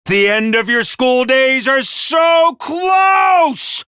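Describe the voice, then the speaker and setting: A man voicing a small, bossy male robot commander in a video game, theatrical and self-important, prone to shouting. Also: sing-song, drawn-out words, clear, resonant tone, no room sound, studio quality, exaggerated voice. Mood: announcing. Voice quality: squeaky, over-the-top. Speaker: voice actor. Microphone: dry studio mic.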